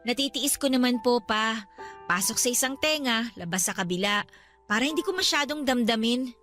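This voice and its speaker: An actress playing a devoted young adult Filipino daughter, gentle, respectful and reassuring, in a radio drama. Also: female voice, a little high, normal speed, faint music behind, close to an everyday voice. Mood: resigned. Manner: caring, respectful. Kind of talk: Tagalog radio drama acting.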